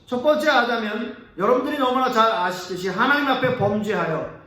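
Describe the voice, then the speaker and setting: A man preaching a sermon as pastor. He talks earnestly, rises on key words, and lets his sentences trail off soft and low. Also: brisk. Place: church sanctuary. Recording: echoey church hall, mic at a distance.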